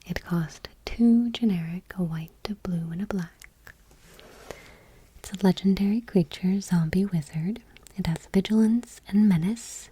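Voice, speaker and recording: A woman speaking in a soft, breathy near-whisper in an ASMR style, gentle and unhurried, close to the microphone. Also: lowish voice, rough recording. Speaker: ASMR channel host. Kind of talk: soft-spoken ASMR, reading trading cards aloud.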